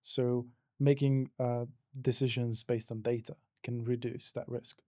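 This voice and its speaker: A male interview guest from Hungary speaks with a Hungarian accent in a soft, mellow tone. His explanations are casual and a little hesitant. The sound is dry, with no room around it. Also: mid-range voice, flat delivery, unhurried, dry recording. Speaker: interview guest, digital marketing professional.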